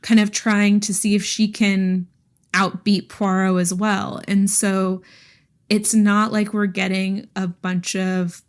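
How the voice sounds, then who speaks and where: An enthusiastic woman co-hosts a casual book podcast. Her voice sits at a middle pitch, and she talks in a loose, chatty way with plenty of "like" thrown in. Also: little pitch movement, takes it slowly. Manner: enthusiastic, frequent "like", laughs while talking. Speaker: podcast co-host.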